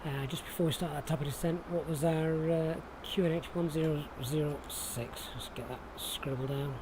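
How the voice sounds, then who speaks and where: A man's voice: a little high, fairly even intonation, normal speed. A man from Sheffield with a Yorkshire accent, giving casual, chatty live commentary on a flight simulator stream as he thinks aloud and talks to viewers. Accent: Yorkshire English. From Sheffield, England. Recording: clear background noise.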